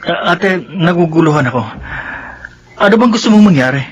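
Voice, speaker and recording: An actor playing a nervous, reluctant young Filipino younger brother in a radio drama, hesitant and questioning. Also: male voice, fairly high pitch, lively intonation, normal speed, husky, muffled recording.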